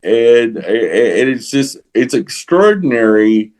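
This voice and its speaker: A man with a Texas accent talking casually as a podcast co-host, halting often to repeat and rephrase his words. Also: mid-range voice, sing-song, darkish tone. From San Antonio, Texas. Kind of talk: casual opinionated podcast talk.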